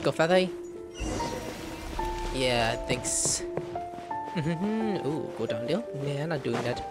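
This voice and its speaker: A man's voice: mid-range voice, expressive, slow pace. A man doing casual, playful gameplay commentary, chatting to himself and the game. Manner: playful, talking to self and game. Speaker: video game streamer. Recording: music and game sound behind voice.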